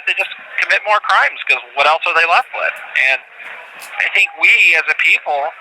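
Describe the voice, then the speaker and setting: A man talking earnestly and haltingly from prison over a noisy phone line, repeating words as he makes his case. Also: a little high. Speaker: prisoner being interviewed by phone. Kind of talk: earnest, unscripted phone interview talk. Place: prison, on a telephone call. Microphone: telephone.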